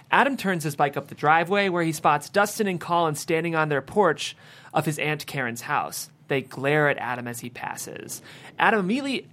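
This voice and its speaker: A man's voice: fairly high pitch, fairly bright tone. A man narrating the stage directions at a screenplay table read.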